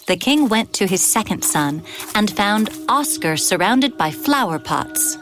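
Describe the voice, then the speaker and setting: A woman's voice: medium pitch, big pitch swings, measured pace, music in the background. A woman narrating a scripted children's bedtime story as the storyteller.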